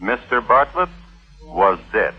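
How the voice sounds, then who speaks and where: An old, muffled radio recording with clear music features a male true-crime narrator. His mid-pitched voice moves widely up and down as he hosts with formal, dry theatricality. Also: big pitch swings, slow pace. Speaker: narrator and host.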